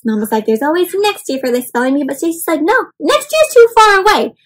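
A young woman reading a picture book aloud to children in an animated, interactive way, stopping often to ask her young listeners questions. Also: very high-pitched, fairly quick. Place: indoors in front of a leafy backdrop.

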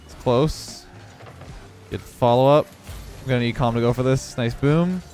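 Young male gaming creator, congested, casual play-by-play over soft game music, mid-pitched. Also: measured pace. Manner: relaxed running commentary on his own play. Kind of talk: live gameplay commentary.

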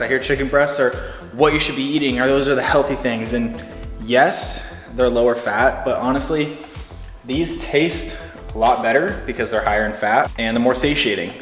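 A man's voice: mid-range voice, fairly bright tone. An American man in his thirties chatting casually to a camera held at arm's length in his home kitchen, as a fitness vlogger. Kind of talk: casual vlog talk to camera. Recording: echoey kitchen with music underneath. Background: background music.

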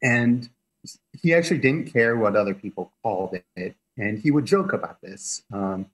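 A man appearing as a guest expert, explaining history in a relaxed way and chuckling as he goes, heard over a remote video call. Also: mid-range voice, normal speed, slightly rough, low-quality recording.

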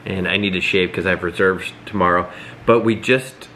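A man in his thirties giving a quick, upbeat house tour and chatting at home. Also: deep voice, fairly even intonation, bright, forceful tone, a little hiss or hum. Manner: quick, upbeat, rambling with restarts. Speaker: vlog co-host. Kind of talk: casual house tour and chat. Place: living room at home, indoors.

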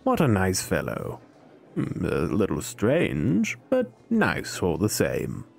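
An actor playing a polite older butler in an audio drama, formal and courteous in manner. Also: male voice, low-pitched, huge pitch swings, takes it slowly, creaky, a little mellow, soft background music, full character voice.

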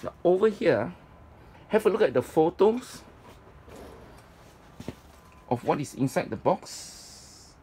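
Man, slightly high voice, halting informal inventory narration, handheld phone recording, clear ambient noise. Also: expressive, dark tone. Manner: halting, piecemeal delivery. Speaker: storage auction presenter. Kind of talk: informal item-by-item walkthrough narration. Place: storage facility, at open unit. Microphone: handheld phone camera, speaker behind it. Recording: noisy handheld recording, traffic-like rumble.

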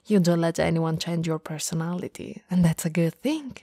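A woman acting a friend's warm, teasing goth older sister in an intimate, soft-spoken ASMR roleplay, sometimes slipping toward a whisper. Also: lowish voice, normal speed, no echo, clean studio sound. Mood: fond, teasing. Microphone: close studio microphone.

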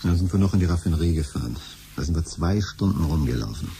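A pushy, frustrated middle-aged father in a 1970s radio drama. His low voice moves expressively up and down with a dark, soft edge, grumbling and insisting. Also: animated, quick pace, gravelly, slight background noise. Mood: exasperated. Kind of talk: 1970s German radio play acting.

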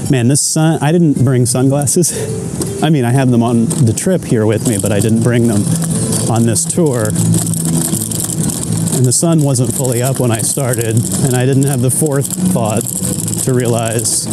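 A man's voice: medium pitch, measured pace, heavy background noise. A man giving a casual, easygoing walking tour, chatting and thinking aloud as he goes. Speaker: vlogger. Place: outdoors and indoors at a large resort.

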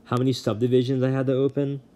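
A man's voice: mid-range voice, level tone, fast, dark tone, voice pushed up, natural voice. A man reading aloud, voicing an angry, harried male god of the dead who bellows complaints and threats. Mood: ranting. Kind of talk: audiobook reading.

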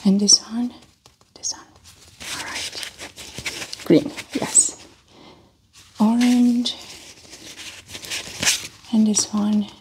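A woman doing a soft-spoken ASMR medical roleplay as a doctor, often whispering, with gentle, reassuring step-by-step instructions. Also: a little high, flat delivery, extremely slow, long pauses, low-quality recording. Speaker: ASMR performer playing a doctor.

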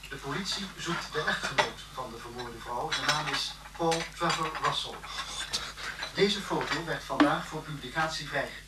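A man's voice: a little high, moderate pace, close to an everyday voice. An actor playing a formal, impersonal man reading a scripted television news bulletin in a radio drama. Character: formal male television newsreader. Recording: distant echoey TV sound, loud noise.

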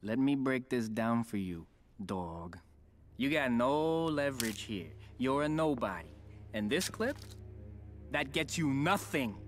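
A crooked middle-aged cop in a game cutscene, cold and threatening, dropping his voice to a low hiss. His pitch sits mid-range for a man. Background music plays softly under him. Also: big pitch swings, a little slow. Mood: menacing. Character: menacing corrupt male cop, middle-aged. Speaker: corrupt police lieutenant. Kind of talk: video game cutscene acting.

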